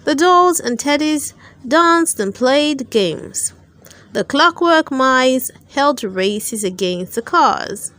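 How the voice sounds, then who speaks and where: A woman narrating a children's storybook aloud, with careful, expressive storytelling. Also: high-pitched, slow pace, dry recording. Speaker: storyteller, channel host.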